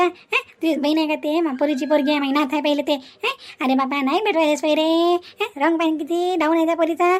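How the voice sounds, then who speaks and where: A woman plays a scolding old grandmother from a cartoon family drama, high in pitch and quick of tongue. She argues insistently and repeats her questions. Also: close to an everyday voice. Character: pushy, scolding old grandmother arranging a marriage. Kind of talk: Marathi animated family drama.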